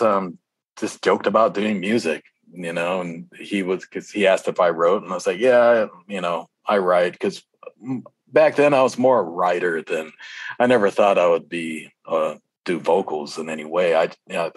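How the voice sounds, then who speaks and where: A man with a very deep, slightly husky voice reminisces in a loose, reflective interview style. He rambles casually as a guest, and the sound is dry, with little room in it. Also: dry recording.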